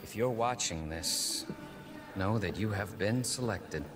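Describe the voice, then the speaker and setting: An actor playing a smooth, menacing cult preacher in his thirties, speaking slowly and persuasively in a video game. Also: lowish voice. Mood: sinister, persuasive. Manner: slow, deliberate, persuasive. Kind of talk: video game dramatic monologue, televangelist-like.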